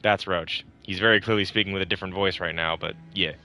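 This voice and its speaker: A man with a lowish but very bright, forward voice hosts a relaxed gameplay session. He jokes and swears offhandedly, with faint noise behind him. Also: fast talker. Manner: joking, offhand, swears casually. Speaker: host playing the game. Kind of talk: casual let's-play commentary.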